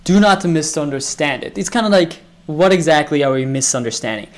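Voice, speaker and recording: A woman's voice: deep voice, animated, a little fast, faint music behind. A woman whose first language is Japanese, casually reacting to anime clips and explaining Japanese words in a playful way.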